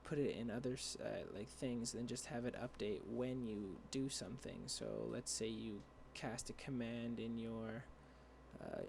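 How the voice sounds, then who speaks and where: A young man explaining a coding tutorial over a screen share, rambling and halting with many fillers and restarts, his voice dry. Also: medium pitch, lively intonation, vocal fry, darkish tone, a little hiss or hum. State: dry voice, clearing throat. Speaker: tutorial creator.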